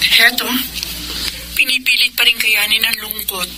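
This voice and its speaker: An actress playing a lonely, worried wife working overseas in a radio drama. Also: female voice, a little high, fairly even intonation, moderate pace, background noise, lightly acted. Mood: worried, sad.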